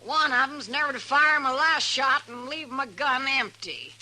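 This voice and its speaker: An actress playing a brash, boastful saloon gunslinger in an old radio Western, with an American accent, swaggering folksy slang and a bright, forceful voice. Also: female voice, high voice, unhurried, heavily acted. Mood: confident. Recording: old radio recording with faint music.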